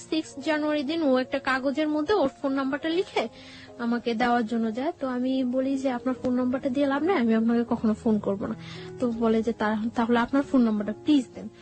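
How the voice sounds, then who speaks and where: A woman with a Bangladeshi accent speaks in a high voice, sharing a personal story as a guest. She goes haltingly and circles back over her phrases. Music plays behind her. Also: darkish tone. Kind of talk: personal storytelling in conversational talk.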